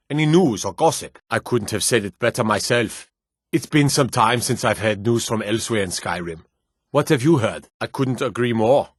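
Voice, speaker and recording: A man playing a dignified, courtly lord with a formal, measured way of talking in a fantasy video game. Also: lowish voice, no room sound, studio quality, natural voice. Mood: inquisitive.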